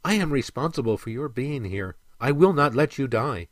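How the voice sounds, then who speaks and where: A man voicing a gentle, earnest female mermaid in a storybook, with soft, stately phrasing. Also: mid-range voice, expressive, normal speed, dry recording, close to an everyday voice. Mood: earnest, insistent.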